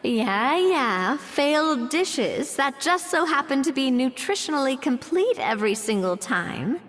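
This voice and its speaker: A woman's voice: sits high, sing-song, unhurried. A woman playing a poised, good-humored young lady in a video game, breezy, confident and teasing. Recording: reverberant game audio with music.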